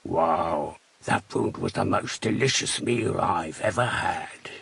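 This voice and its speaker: A man's voice: deep voice, slow, gravelly, a bit bright, husky, no echo, pitched below the performer's own voice, full character voice. A man voicing a hungry, growly male wolf in a children's storybook. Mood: satisfied. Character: hungry male wolf, gruff villain who turns remorseful.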